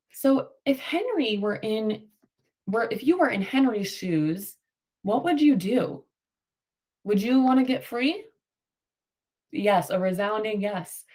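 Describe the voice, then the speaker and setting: Female teacher, unhurried delivery, read-aloud storytelling mixed with instructional prompts for young pupils. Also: mid-range voice, very animated, a little mellow. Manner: instructional, prompting and affirming answers. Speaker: teacher reading aloud to children. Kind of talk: picture-book read-aloud with questions to a class.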